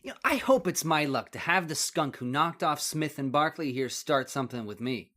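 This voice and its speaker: A man's voice: a little high, expressive, moderate pace, sharp tone. Man playing an eager, joking young cop. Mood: joking, bold. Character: eager, joking young policeman, bold rookie nephew. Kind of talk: old-time radio drama acting.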